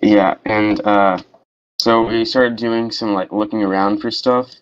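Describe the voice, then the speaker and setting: A man's voice: on the low side, steady tone, unhurried. A young man casually telling a story in a voice chat, easygoing and slangy. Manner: easygoing, slangy, says "dude" and "bro". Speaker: voice chat participant. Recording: muffled lo-fi voice chat mic.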